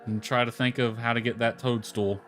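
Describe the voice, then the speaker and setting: A man's voice: medium pitch, little pitch movement, a little fast, a bit bright. Casual male game streamer with music behind. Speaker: game livestreamer. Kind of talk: casual gameplay stream commentary.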